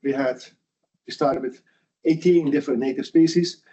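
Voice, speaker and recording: A man's voice: medium pitch, normal speed, dark tone, breathy edge. Dutch man giving an earnest lecture. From Netherlands. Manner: earnest, conversational, with frequent restarts. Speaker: foundation founder giving a lecture. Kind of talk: lunch lecture presentation. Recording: dull lo-fi sound.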